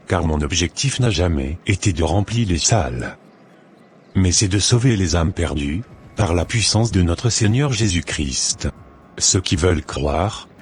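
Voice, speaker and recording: A man's voice: deep voice, a little mellow, a little hiss or hum. A man voicing a stern, devout pastor. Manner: solemn, preachy, fervent in prayer.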